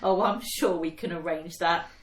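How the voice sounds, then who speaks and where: An actress playing a chatty, excitable young woman of the home front in a wartime radio play. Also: lowish voice, normal speed, close to an everyday voice. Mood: subdued. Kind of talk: period radio drama acting. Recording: echoey room.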